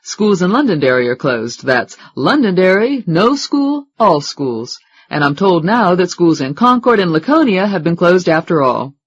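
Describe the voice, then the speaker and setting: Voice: medium pitch, big pitch swings, moderate pace, hardly any pauses, muffled recording, a little hiss or hum, voice pushed up, natural voice. A narrator voicing a chatty local radio announcer reading out a list of storm closings. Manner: chatty broadcast delivery.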